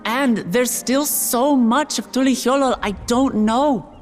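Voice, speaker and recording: An actress playing an earnest, warm-hearted young woman who has just become ruler, delivering a heartfelt ascension speech in a video game cutscene. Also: on the high side, unhurried, lightly acted. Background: orchestral game music.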